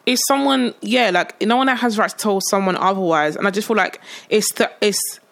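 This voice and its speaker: A woman's voice: mid-range voice, normal speed, vocal fry, fairly bright tone. A woman in her thirties, chatting casually as a podcast co-host, emphatic and rambling, with many fillers. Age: 30s. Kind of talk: casual chat.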